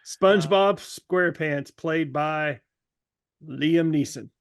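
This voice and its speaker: A man trades casual comic banter as a podcast co-host. He takes his time, with a gravelly creak in a close, dry recording, and he likes slipping into joking impressions. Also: fairly high pitch, slow, no echo. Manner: joking, breaks into celebrity impressions.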